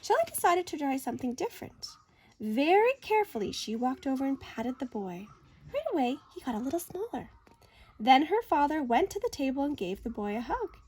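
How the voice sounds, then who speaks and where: A woman reading a children's picture book aloud in an expressive, deliberate, dramatic way. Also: sits high. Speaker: story reader.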